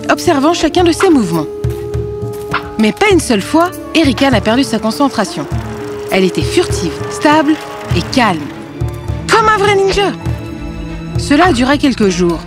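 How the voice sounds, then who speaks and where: High, lively female storyteller. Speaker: narrator. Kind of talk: scripted children's fairy tale narration.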